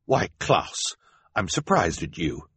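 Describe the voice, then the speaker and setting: A man voicing a mild, gentle husband who speaks politely and hesitantly. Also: deep voice, sing-song, slow pace, slightly rough, dry recording, full character voice. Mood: mildly surprised.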